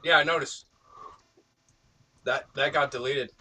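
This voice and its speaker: A man's voice: mid-range voice, steady tone, a little slow, bright, forceful tone. A man chatting casually in a group, blunt and slangy with plenty of swearing. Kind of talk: casual online group chat. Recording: distant microphone.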